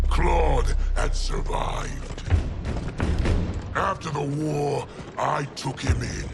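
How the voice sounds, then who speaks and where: A man playing a proud army general who speaks formally and forcefully. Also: fairly high pitch, creaky, heavily acted. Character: proud stern male general, commanding. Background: orchestral game score.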